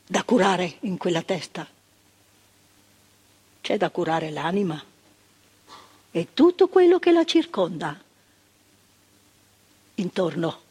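A thoughtful woman who worked in a psychiatric hospital, speaking. Her voice is husky and breathy, and her pitch rises and falls a lot. It sounds like a theatre stage with a long, ringing reverb. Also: darkish tone, exaggerated voice. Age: older. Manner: reflective, searching, repeating words for weight. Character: earnest woman psychiatric worker recalling the past. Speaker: actress in a stage monologue. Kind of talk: theatrical monologue.

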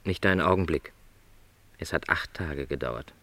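Low-voiced, resigned middle-aged salesman. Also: pushed and bright, dry recording, exaggerated voice. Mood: subdued sadness. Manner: measured, reflective, hesitant phrasing. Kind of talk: literary radio drama dialogue.

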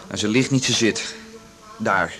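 An actor playing a flustered, pleading husband in a radio drama, hesitant and desperate. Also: male voice, lowish voice, a little slow, fairly bright tone. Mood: apologetic. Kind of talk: Dutch 1980s radio drama acting.

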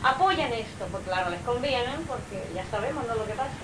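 A woman's voice: on the high side, animated, fairly quick. A woman with a Chilean accent talking casually in a group discussion, often adding little checking tags. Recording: distant mic, roomy, noisy amateur recording.

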